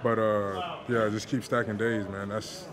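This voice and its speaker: A young man, a football player, answering reporters in a locker room after a game in a relaxed, easygoing way. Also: lowish voice, unhurried. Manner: relaxed, laid-back, with filler words and light laughs. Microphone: handheld reporter microphones. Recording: busy locker room crowd noise. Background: locker room chatter.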